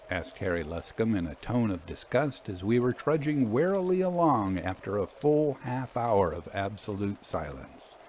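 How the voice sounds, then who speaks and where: A man reading scripted narration as a storytelling host, expressive and deliberate. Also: on the low side, a little hiss or hum.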